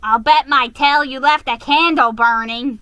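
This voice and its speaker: A woman voicing a scowling storybook fire mouse who scolds in a stern, forceful manner. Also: sits high, fairly even intonation, a little slow, smooth, no room sound. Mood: scolding.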